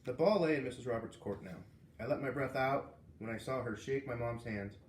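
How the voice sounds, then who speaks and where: A man narrating a children's book aloud in an expressive storytelling style. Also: medium pitch, a little fast, roomy sound, slight background noise.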